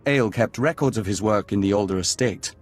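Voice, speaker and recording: An actor playing a serene, courteous envoy of an alien insect hive in a video game, speaking formally and in measured phrases as a collective "we". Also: male voice, fairly low pitch, lightly acted. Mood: calm, reverent.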